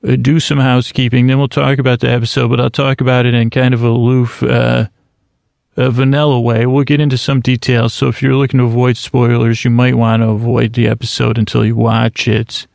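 A man hosting a podcast, musing in a self-mocking, deadpan way and wandering off on long tangents. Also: medium pitch, a little fast, gravelly, dry recording. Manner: goes off on rambling tangents. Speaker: podcast host. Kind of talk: rambling solo podcast intro.

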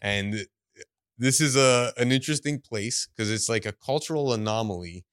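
A man's voice: medium pitch, moderate pace, a bit bright, clear, resonant tone, no echo, studio quality. A man from Ontario telling stories in a casual podcast chat, rambling with lots of fillers and laughs. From Woodbridge, Ontario, Canada. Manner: rambling, full of "like" and restarts, laughing often. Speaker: comedian guest.

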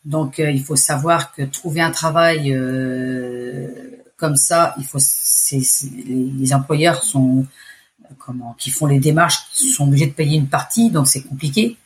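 A woman in her forties with an accent from France, telling her story in a casual interview, chatty and halting. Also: very deep voice. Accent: French from France. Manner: chatty, hesitant, restarts phrases. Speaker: expat interviewee.

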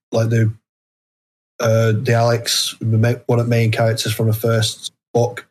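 British bloke being interviewed, mid pitch, kinda flat delivery, low-key and hesitant. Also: male voice. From United Kingdom. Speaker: interview guest, indie horror author. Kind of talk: casual interview chat.

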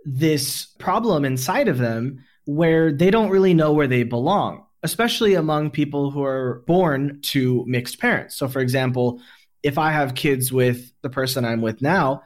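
A man with a somewhat high voice hosts a casual podcast. He explains ideas in a thoughtful, reflective way. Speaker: podcast host.

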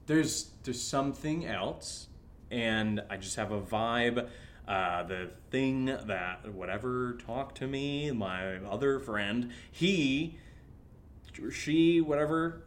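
Male actor, horror audio drama, sarcastic excitable guy, rambling and halting, medium pitch, slightly noisy recording with rumble. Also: close to an everyday voice. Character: excitable, sarcastic, rattled male friend who drinks.

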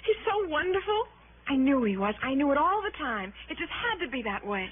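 High-pitched, adoring wife. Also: female voice, big pitch swings, a little fast, phone-quality sound. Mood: gushing. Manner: gushing, emphatic. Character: adoring, breathlessly devoted young wife. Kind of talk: 1960s radio drama acting. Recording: thin vintage radio, faint noise.

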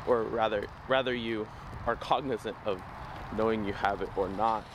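Deep-voiced man vlogging on a noisy street. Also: fairly even intonation, normal speed. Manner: casual, rambling, upbeat motivational, slangy. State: losing his voice. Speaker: vlogger host. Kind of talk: walking talk to camera, motivational. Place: outdoors on a city street. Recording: noisy outdoor street recording.

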